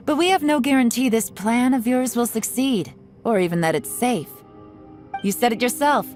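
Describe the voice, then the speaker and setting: A woman's voice: medium pitch, darkish tone, natural voice. An actress playing a shrewd, skeptical woman adventurer in a video game, with a self-assured, sardonic delivery. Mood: challenging. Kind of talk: dramatic video game voice acting. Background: faint game soundtrack music.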